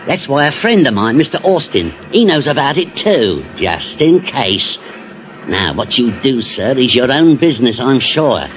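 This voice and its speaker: A man's voice: mid-range voice, sing-song, normal speed, lightly acted. An actor playing a sly, servile blackmailing seaman with wheedling working-class speech in a 1950s radio drama. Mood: sly, deferential. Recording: muffled, noisy vintage radio recording.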